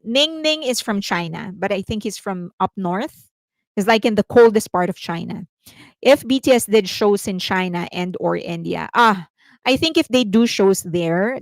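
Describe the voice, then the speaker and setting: A woman's voice: medium pitch, dry recording. A Filipino woman with a Filipino accent, hosting a casual commentary stream, chatty and explanatory, reading comments aloud and laughing often. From Philippines. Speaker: host.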